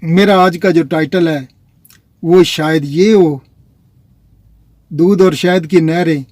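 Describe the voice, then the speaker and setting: A man's voice: a little high, fairly even intonation, slow, a little mellow, no room sound. A man from Pakistan, vlogging in a casual, rambling way and talking straight to his viewers. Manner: chatty, rambling, addresses viewers as nazreen. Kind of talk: casual vlog talk to viewers.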